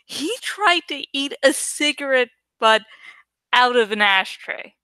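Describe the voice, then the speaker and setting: A gentle teenage girl from a storybook , read out by a woman, speaks plainly and wistfully. Her voice is clear and slow, with a little hesitation. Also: high-pitched, expressive, no echo, heavily acted. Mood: amused sympathy.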